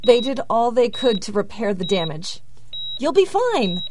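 An actress playing a worried wife at a hospital bedside in an audio drama, fretful, chiding and reassuring by turns. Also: female voice, a little high, sing-song, unhurried. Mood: anxious, reassuring. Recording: noisy, with beeping hospital monitor. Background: electronic beeping, noise.

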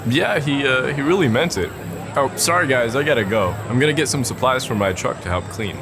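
An actor playing a friendly, hurried volunteer bystander in an audio drama, speaking hesitantly. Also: male voice, medium pitch, animated, close to an everyday voice. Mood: hurried, sincere. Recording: street and vehicle noise. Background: urban traffic ambience.

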